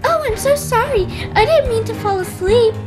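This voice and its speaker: Woman acting sweet maiden princess, very high pitch, animated, naive, gentle, storybook, faint music. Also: moderate pace. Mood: apologetic, meek. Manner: gentle, wide-eyed, apologetic. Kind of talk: children's storybook play with dolls.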